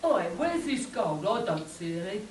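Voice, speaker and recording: A woman's voice: mid-range voice, sing-song, normal speed, a loud crowd, close to an everyday voice. A woman playing an adventurer's blunt, wry sidekick in a spoof radio play. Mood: demanding. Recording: echoey hall, distant mic, faint noise.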